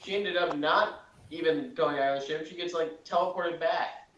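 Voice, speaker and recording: A man's voice: a little high, moderate pace, a bit bright, lo-fi sound. A man giving casual critical commentary as a panelist, blunt and dismissive in his manner. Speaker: podcast panelist. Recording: echoey room, far from mic.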